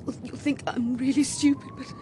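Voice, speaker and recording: Actress as a high-voiced, fragile, frantic mother. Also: fairly even intonation, background noise, close to an everyday voice. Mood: shaky. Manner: halting, breathless, emotional delivery. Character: distraught, guilt-ridden young mother, frantic and fragile. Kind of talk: radio horror drama acting.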